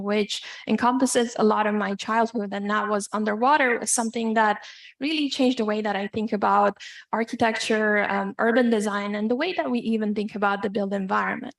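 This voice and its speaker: A woman from Iran giving an academic lecture as a guest speaker, measured and hesitant, pausing often as she goes. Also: medium pitch, creaky.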